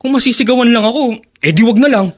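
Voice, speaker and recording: An actor playing a fed-up but polite young son in a radio drama, with a Filipino accent. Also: male voice, very high voice, fast talker. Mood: sulky, resigned. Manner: polite, sulky.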